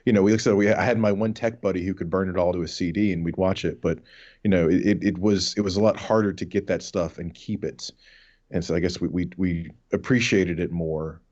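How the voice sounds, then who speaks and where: A man's voice: low-pitched, fairly even intonation, a little fast, a little mellow, dull audio. A man in his thirties co-hosting a podcast, reminiscing casually in a rambling, sweary way. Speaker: podcast co-host.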